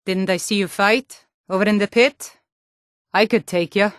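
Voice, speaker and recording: Actress as a female Nord guard, fantasy game, mid-pitched, blunt, challenging, suspicious, wry, very dry studio sound. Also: steady tone, moderate pace, dry recording, natural voice. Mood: teasing, gruff. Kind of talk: fantasy video game character barks.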